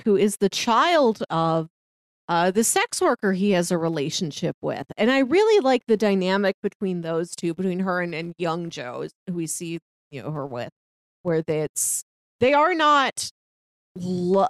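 A woman's voice: medium pitch, dry recording. Female podcast co-host, mid-range voice, very dry sound. Earnest, conversational film chat. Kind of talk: casual film discussion.

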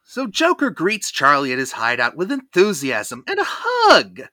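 A podcast host telling a cartoon episode recap in a lively, theatrical storytelling manner. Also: mid-range voice, a little slow, no room sound, studio quality.

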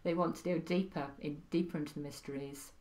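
A middle-aged woman from Wales, a guest on a podcast, talking in a thoughtful, wry way with pauses and fillers. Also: low-pitched, steady tone, darkish tone. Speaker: podcast guest, practising witch. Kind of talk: casual interview chat.

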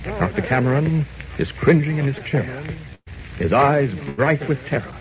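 A man's voice: on the high side, measured pace, a crowd in the background. A man narrating a radio serial in dramatic, scripted 1940s style. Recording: muffled old radio broadcast with background sound.

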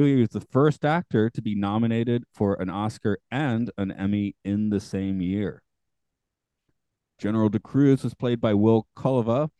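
Man, podcast host, warm low voice, morning gravel, chatty, laughs at himself, dry close mic. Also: no room sound. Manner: chatty, self-deprecating, laughs often. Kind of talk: casual podcast chat.

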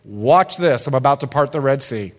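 A man voicing Jesus as playful and boastful, taunting doubters in a deep, teasing tone. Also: expressive, moderate pace, close to an everyday voice. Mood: cocky, teasing.

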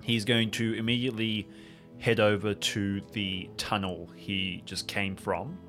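A man's voice: lowish voice, little pitch movement, unhurried, a bit bright, faint music behind. A man casually improvising narration of his character's actions as a player on an adventure podcast.

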